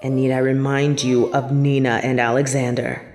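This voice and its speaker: A woman's voice: extremely low pitch, little pitch movement, normal speed, darkish tone. A woman playing a stern, scornful older headmistress of a magic school in a fantasy audio drama, with a haughty, cutting delivery. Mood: threatening. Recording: long reverberant hall with background noise.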